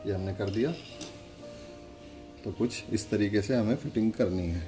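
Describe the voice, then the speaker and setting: A man with a fairly low voice talks through a hands-on demonstration as host. His delivery is casual and instructional, explaining step by step and repeating small pointers. Music plays along with his voice. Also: a little slow. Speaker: product demonstrator and channel host. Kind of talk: casual step-by-step demo narration.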